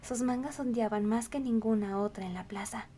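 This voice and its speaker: High-voiced woman narrating a story. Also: normal speed, mellow, slight background noise.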